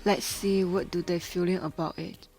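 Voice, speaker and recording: A woman's voice: lowish voice, steady tone, moderate pace. A woman presenting a news report, reading scripted copy. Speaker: news anchor. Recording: music behind.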